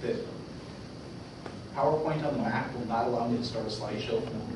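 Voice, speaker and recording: A man giving an informal tech presentation to an audience, talking in a casual, halting, conversational way. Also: medium pitch. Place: meetup room with an audience. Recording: echoey room from far off, clear noise.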